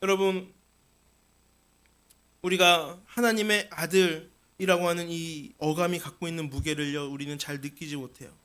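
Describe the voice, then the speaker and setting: A man's voice: a little high, steady tone, moderate pace, a bit bright. A man preaching a Sunday sermon as senior pastor, speaking earnestly to his congregation and posing rhetorical questions. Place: church sanctuary.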